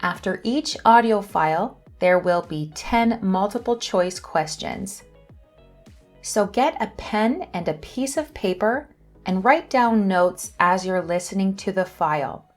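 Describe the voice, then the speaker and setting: Slow, careful woman teacher. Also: mid-range voice, animated, soft background music. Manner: slow, careful reading of questions and answers. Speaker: English teacher and channel host. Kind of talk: scripted lesson narration.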